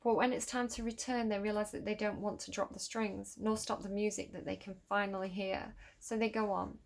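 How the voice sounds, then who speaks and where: A woman's voice: mid-range voice, little pitch movement, brisk, vocal fry. A woman with a Manchester accent giving a casual tarot reading to camera, musing and repeating phrases as she reads card meanings from a book. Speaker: tarot reader.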